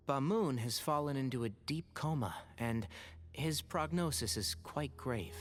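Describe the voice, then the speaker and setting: An actor playing a polite, earnest young scholar with medical training in a video game. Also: male voice, mid-range voice, natural voice. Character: thoughtful young male scholar and healer. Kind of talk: game voice acting.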